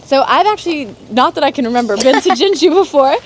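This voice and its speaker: A woman's voice: sits high, animated, quick pace, a little hiss or hum. A young woman from North Carolina hosting a casual travel livestream outdoors, chatty and giggly with lots of fillers. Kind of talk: casual live chat while touring. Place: outdoors at a historic fortress park. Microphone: clip-on mic.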